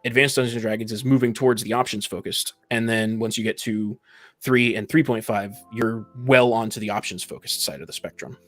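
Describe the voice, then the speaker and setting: A man's voice: on the low side, steady tone, fairly quick, fairly bright tone, soft background music. A man in a casual discussion, explaining things in an analytical, precise and somewhat argumentative way. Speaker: panelist in a tabletop gaming discussion.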